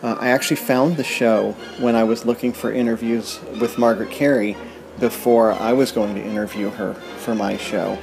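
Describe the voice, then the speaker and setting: A man's voice: on the low side, fairly even intonation, normal speed, creaky, poor audio. Man's casual voice message over music. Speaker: podcast host leaving a congratulatory message.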